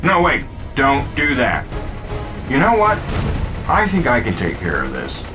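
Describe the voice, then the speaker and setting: An actor playing a cocky, wisecracking billionaire inventor in a video game cutscene, flippant and sardonic. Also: male voice, mid-range voice, huge pitch swings, measured pace, over music. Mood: urgent.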